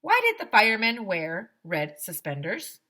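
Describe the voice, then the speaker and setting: A woman voicing a showy lady firefighter from a children's storybook, talking loudly like a presenter to schoolchildren. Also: mid-range voice, huge pitch swings, unhurried, voice pushed up, heavily acted. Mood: cheery. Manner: loud, bright, presenter-like.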